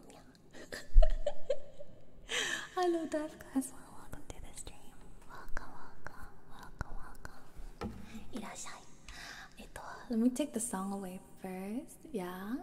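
Soft whispery young woman streamer. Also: medium pitch, a little hiss or hum. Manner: soft whispery talk with giggles. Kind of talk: soft ASMR chat and singing. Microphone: close ASMR mic. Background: soft guitar.

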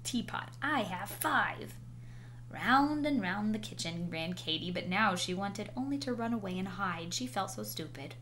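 A woman reading a children's picture book aloud with animated, dramatic emphasis and drawn-out words. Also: mid-range voice. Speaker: storybook reader. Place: indoors, small room at home. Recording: noisy home video recording.

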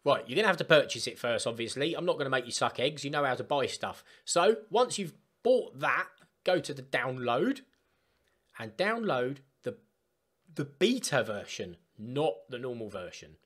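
Man with animated, swinging intonation. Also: mid-range voice, normal speed. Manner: emphatic, instructional. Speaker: tutorial presenter. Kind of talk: informal step-by-step software tutorial. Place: voice-over for screen recording.

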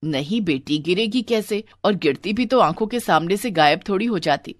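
A woman voicing a gentle, meek middle-aged mother in a cartoon story, soft and placating. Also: mid-range voice, expressive, normal speed, dry recording, natural voice. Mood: worried, pleading.

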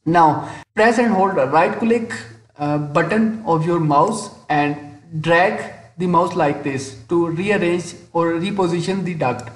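A man narrating a step-by-step software tutorial as an instructor, hesitant and often pausing mid-sentence, in a voice-over with room echo. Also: fairly high pitch. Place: indoor room, screen-recording voice-over. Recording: roomy, echoing voice-over.

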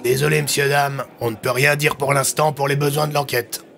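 A serious police commissioner, acted by a man in an audio drama. His mid-pitched voice has a gravelly creak and he is quick to irritation. Soft music plays behind. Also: little pitch movement. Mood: stern, concerned.

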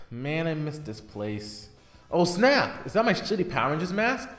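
A man's voice: a little high, very animated, unhurried, fairly bright tone, soft background music. Exasperated, sarcastic schoolboy played by a man, echoey. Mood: muttering, wry. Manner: sarcastic, self-talking, crude. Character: exasperated, scheming schoolboy with a sarcastic inner monologue. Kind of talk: anime parody fan dub.

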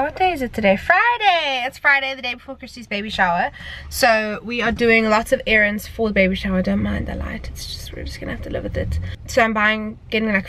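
A woman's voice: mid-range voice, a bit bright, echoey room. A woman in her twenties chatting casually to a handheld camera, upbeat and full of filler words, filmed in empty rooms and a parked car. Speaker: vlogger. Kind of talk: casual vlog chat to camera. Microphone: handheld camera at arm's length.

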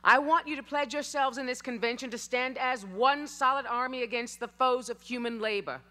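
A woman's voice: high-pitched, normal speed, bright, forceful tone. A woman giving a rousing, declamatory dramatic reading of a historical speech from a theater stage, speaking into a stand mic at a lectern. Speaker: stage reader.

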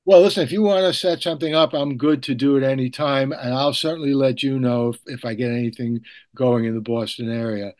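An older man hosting a podcast, talking casually and deliberately. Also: mid-range voice, steady tone, a little mellow. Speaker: podcast host, author and professor.

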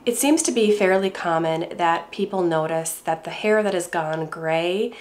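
A middle-aged woman with a congested voice from a cold, calmly explaining her hair care routine to camera at home. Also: fairly low pitch, a little slow. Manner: calm, gentle, explaining step by step. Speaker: beauty vlogger. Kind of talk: casual talk to camera. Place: home room indoors.

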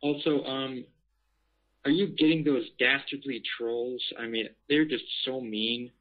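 A man's voice: medium pitch, fairly even intonation, unhurried. A man chatting casually and asking questions as a caller. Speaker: interviewer, caller. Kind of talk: casual phone chat. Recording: muffled phone line.

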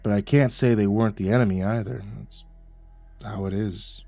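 A man voicing a bitter, boozy old male war veteran who talks in a gruff, low, sneering way over faint music. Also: fairly even intonation, moderate pace, dark tone, no room sound. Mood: brooding.

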